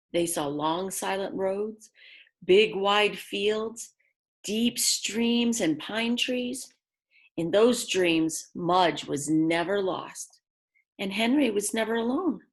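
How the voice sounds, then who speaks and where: A woman reads a children's story at a slow, deliberate pace in a mid-range voice. She leans on key words for drama and drops in simple, chatty remarks for her young listeners. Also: mid-range voice. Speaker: children's read-aloud storyteller. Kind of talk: expressive picture-book reading with asides to young listeners.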